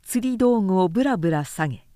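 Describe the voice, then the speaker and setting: A woman narrator giving a measured, clearly articulated reading of literary fiction in audiobook style. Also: medium pitch, expressive, warm tone, no echo, clean studio sound.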